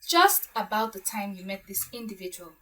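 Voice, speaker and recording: A woman playing a shrewd, assured woman who deliberately lays out a case in a story drama. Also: medium pitch, sing-song, a bit bright, far from the mic. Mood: assured, accusing. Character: shrewd woman calmly exposing a plot.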